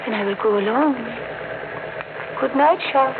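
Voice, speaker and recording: An actress playing a calm, wistful young dead woman in a 1950s radio drama, speaking in short, plain phrases. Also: sits high, a little slow, background noise. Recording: muffled old radio broadcast, music underneath.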